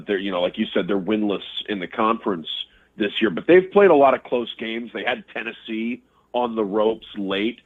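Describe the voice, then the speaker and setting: A man's voice: fairly low pitch, breathy edge. A man calling in by phone as a guest on a sports show, thoughtful and repeating words as he works through his points. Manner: thoughtful, stammering restarts. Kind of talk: casual sports analysis. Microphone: telephone. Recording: tinny phone line.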